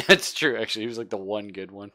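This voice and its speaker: Man, deep bright voice, game master, casual tabletop game chat. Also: quick pace.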